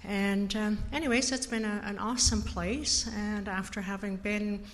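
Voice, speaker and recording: A woman's voice: medium pitch, steady tone. Older Dutch-born woman in a reverberant church. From Netherlands. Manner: thoughtful, halting, many fillers. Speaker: interview guest, church member. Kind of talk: personal testimony in church interview. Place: church sanctuary. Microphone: handheld mic. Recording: long hall reverb in a church.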